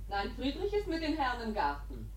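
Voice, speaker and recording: Brisk household woman, high and very swoopy, far off in a roomy space. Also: wildly swooping pitch. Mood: matter-of-fact. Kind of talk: German radio drama acting. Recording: roomy, distant from microphone.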